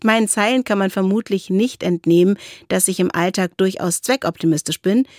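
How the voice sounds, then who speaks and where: A woman's voice: medium pitch, a little fast, a little mellow, no echo. Reflective woman co-hosting, close and dry. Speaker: podcast co-host. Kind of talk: reading listener mail and discussing it.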